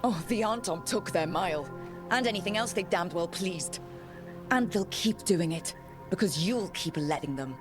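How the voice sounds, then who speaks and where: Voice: mid-range voice, animated, normal speed, music in the background, close to an everyday voice. An actor playing a resolute, wry young hero in a video game cutscene, speaking in a dry, pointed way. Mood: accusing.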